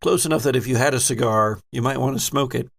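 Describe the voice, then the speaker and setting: A man's voice: medium pitch, quick pace, gravelly. A man acting as game master, narrating and running a tabletop horror role-playing game.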